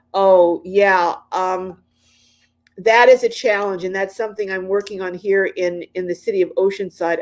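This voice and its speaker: A woman in her late sixties hosting a casual livestream, reading viewers' comments aloud and chatting back, often repeating herself and pausing with ums. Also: mid-range voice, a little mellow. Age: 60s. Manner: chatty, repeats phrases, frequent ums and restarts. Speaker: livestream host. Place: inside her van or RV.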